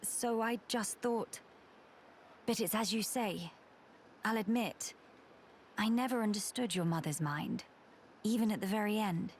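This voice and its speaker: Slow-spoken, earnest young noblewoman played by a woman. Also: mid-range voice, slight background noise, natural voice. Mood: reflective. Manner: measured, formal, courtly phrasing. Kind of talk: dramatic video game acting.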